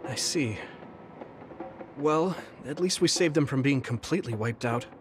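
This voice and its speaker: A man's voice: medium pitch, expressive, unhurried, lightly acted. A man playing a kind, protective young soldier in an anime-style video game, speaking in a friendly, earnest, reassuring way. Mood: concerned.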